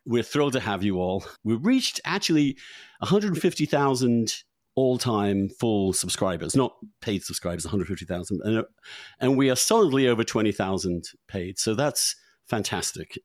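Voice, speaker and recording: A middle-aged man hosting a podcast in a chatty, unscripted way, halting and repeating words as he goes. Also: fairly low pitch, a little mellow, dry recording, clean studio sound. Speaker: podcast host.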